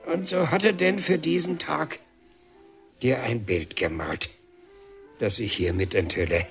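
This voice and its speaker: An actor playing an expansive, sentimental older painter in a radio drama, making a halting, theatrical speech. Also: male voice, mid-range voice, faint music behind, natural voice. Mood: jovial, proud. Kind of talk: German radio drama acting.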